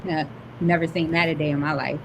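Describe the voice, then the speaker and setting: Woman, young adult, high-pitched voice, laid-back, giggly, casual podcast chat, faint hiss. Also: fairly even intonation, brisk, gravelly, poor audio. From Detroit. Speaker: author, podcast guest.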